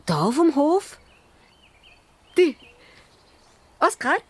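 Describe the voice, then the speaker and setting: A woman playing a small, lively male dwarf who muses aloud and asks questions, with a Swiss German accent, in a children's radio play. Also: sits high, extremely slow, no echo, full character voice. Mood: musing, hopeful. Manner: musing aloud, asking questions.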